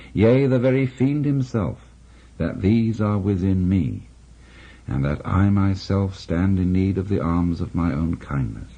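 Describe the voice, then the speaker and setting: A middle-aged man on a 1960s radio show, reading a philosophical text aloud in a measured, reflective way with long pauses. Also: fairly low pitch, mellow, breathy edge. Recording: dull lo-fi 1960s radio recording.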